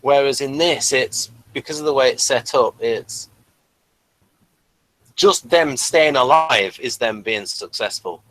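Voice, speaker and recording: A man's voice: medium pitch, unhurried, fairly bright tone, poor audio. A man hosting a casual podcast review, thinking aloud in a hesitant way. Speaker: podcast host. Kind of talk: casual chat reviewing a TV episode.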